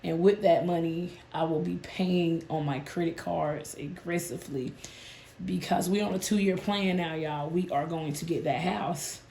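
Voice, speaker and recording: A woman, hoarse and a bit nasal from a sinus illness, chatting casually with her viewers about her budget. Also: lowish voice, a little slow, mellow, roomy sound, slight background noise. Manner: chatty, addresses viewers as "y'all". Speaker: budgeting channel host.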